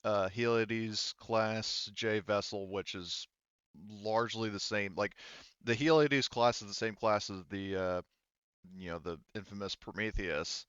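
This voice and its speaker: Low, smooth-voiced man running a tabletop game. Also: steady tone, fairly bright tone, no room sound, lo-fi sound. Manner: halting, thinks aloud, often rephrases mid-sentence. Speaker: game master. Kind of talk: narrating a tabletop roleplaying game, partly read from a module.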